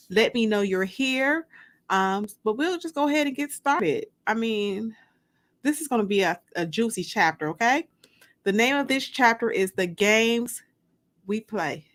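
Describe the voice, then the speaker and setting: A woman hosting a livestream as an author, chatting and reading dramatically from a book with drawn-out, emphatic delivery. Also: fairly high pitch, fairly bright tone. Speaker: host and author.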